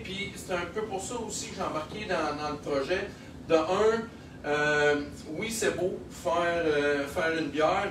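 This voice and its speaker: Québécois brewer addressing a crowd, somewhat high-pitched, chuckling and lively; distant, roomy, noisy recording. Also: male voice. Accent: Québécois French. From Quebec. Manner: animated, chuckling, many fillers. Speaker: microbrewery owner. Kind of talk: speech at a local launch event. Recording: echoey room, heard from a distance, noisy.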